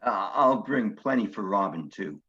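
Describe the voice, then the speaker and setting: A man's voice: on the low side, expressive, normal speed, sharp tone. An actor playing a courteous, precise widowed grandfather courting on a video date in a stage comedy, earnest and a little formal, with dull, muffled sound.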